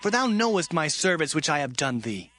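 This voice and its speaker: A man's voice: on the high side, big pitch swings, brisk, a bit bright. A man voicing Jacob, a hardworking herdsman, speaking firmly and insistently. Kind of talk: dramatized scripture reading.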